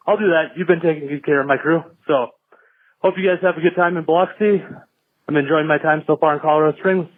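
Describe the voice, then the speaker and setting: A man from Minnesota leaves a casual listener voicemail. His pitch is a little higher than average. The sound is thin and phone-like, dry and lo-fi. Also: no echo. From Minnesota, USA. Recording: dull, lo-fi voicemail.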